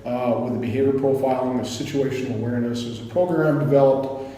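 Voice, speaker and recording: A man's voice: mid-range voice, fast. A man in his thirties, originally from Kuwait, telling war stories as a podcast guest and acting out the voices of the people he quotes. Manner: animated storyteller who acts out quotes. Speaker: guest, behavior analysis instructor. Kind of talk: casual podcast storytelling. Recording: echoey room with long reverb.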